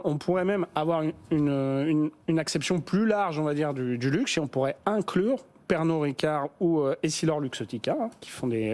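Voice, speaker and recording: A French business journalist explaining stock valuations in a TV studio, pausing often with fillers and restarts. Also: male voice, fairly high pitch, a little mellow. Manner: hesitant, many fillers and restarts. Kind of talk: studio business news discussion.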